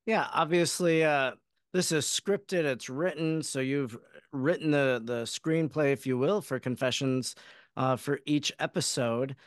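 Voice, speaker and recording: A man's voice: mid-range voice, a little slow, no room sound, studio quality. A man interviewing a guest conversationally as a podcast host.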